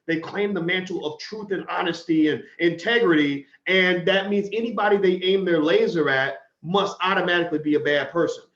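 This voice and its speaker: A man's voice: high-pitched, fairly quick, few pauses, a bit bright. A man hosting an opinionated commentary talk show, blunt and heated, often restarting his sentences. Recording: echoey room, dull lo-fi sound.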